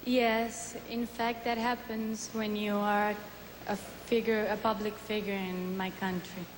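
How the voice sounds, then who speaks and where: Colombian woman in her 20s, slow careful answers, echoey hall. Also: mid-range voice, little pitch movement. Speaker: pageant contestant. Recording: roomy hall reverb, faint noise.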